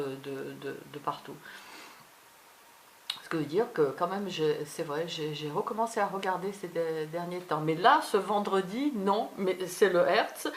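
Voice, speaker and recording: Low-voiced, halting woman vlogger. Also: a little hiss or hum. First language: not French. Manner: halting, fragmented, trailing off. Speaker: video blogger. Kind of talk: unscripted personal vlog monologue.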